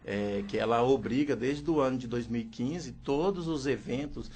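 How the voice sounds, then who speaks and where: A man's voice: medium pitch, moderate pace, a little mellow, slight background noise. A man, a teacher and interpreter, explaining steadily in an interview and often adding "né". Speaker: sign language teacher and interpreter. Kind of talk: radio interview answers.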